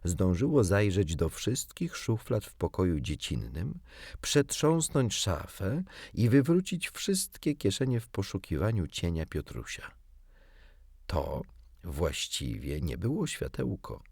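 A man narrating a children's story as an audiobook reader, in an expressive, theatrical way. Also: low-pitched, takes it slowly, vocal fry, slightly rough, dry recording, clean studio sound.

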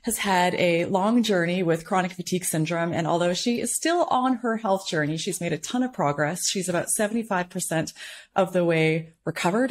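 A woman's voice: on the low side, a little hiss or hum. A woman in her thirties hosting an interview over a video call from her living room, upbeat and keen. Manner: upbeat, enthusiastic, asks open questions. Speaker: host. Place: home living room, indoors. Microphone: video call webcam.

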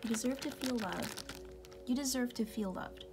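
A woman speaking in a soft, breathy, often unvoiced whisper, tenderly reassuring the listener in an intimate ASMR roleplay. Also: a little high, moderate pace. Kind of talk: ASMR personal-attention roleplay, comforting affirmations. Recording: music playing behind the voice. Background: music.

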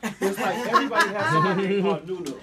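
Animated male radio host, slightly off-mic. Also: sits high, rapid-fire, a loud crowd. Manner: animated, gushing, laughs often. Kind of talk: enthusiastic interview hosting. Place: small radio studio. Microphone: studio boom mic. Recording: distant mic with audible noise.

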